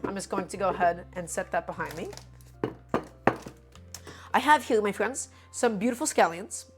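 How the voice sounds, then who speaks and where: A woman's voice: medium pitch, expressive, normal speed, music in the background. A woman hosting a live cooking stream, slightly sick and a bit nasal, talking in an upbeat, chatty way. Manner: upbeat, chatty, greets chat by name.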